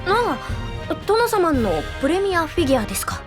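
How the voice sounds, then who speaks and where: A woman playing a bubbly, excitable young junior lawyer in an anime-style audio drama, girlish and expressive. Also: very high voice, a little fast, over music. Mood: excited.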